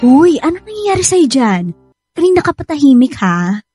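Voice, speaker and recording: A blunt young best friend in a Filipino radio drama. Her high voice has a Filipino accent and an animated, teasing, chiding tone. Music is clearly heard behind her. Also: normal speed. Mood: curious, concerned.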